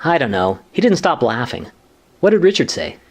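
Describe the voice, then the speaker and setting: A man's voice: a little high, very animated, moderate pace. A man playing an earnest, defensive commission staff lawyer in a sitcom-style radio play, insistent and matter-of-fact.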